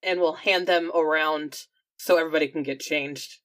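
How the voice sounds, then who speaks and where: A woman's voice: low-pitched, fairly even intonation, moderate pace. A woman playing a roleplaying game, casually describing what her character does. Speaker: player in a tabletop roleplaying game. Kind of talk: casual game-table chat, describing own character's actions.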